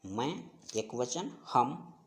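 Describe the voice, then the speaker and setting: A man's voice: mid-range voice, lively intonation, very slow. A man teaching an exam-prep grammar lesson, going through questions and answers and repeating each answer in an instructional way.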